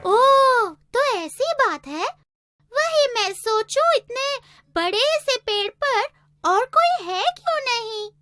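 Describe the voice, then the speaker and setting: A woman's voice: very high voice, big pitch swings, slow pace, bright, forceful tone, full character voice. A woman voicing a small, innocent, anxious female bird in a children's cartoon, polite and earnest. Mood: curious, uneasy. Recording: music behind.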